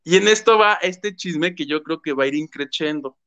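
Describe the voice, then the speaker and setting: Bright-voiced man. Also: a little high, moderate pace. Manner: animated storytelling, acts out quotes. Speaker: show host. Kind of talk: casual gossip commentary.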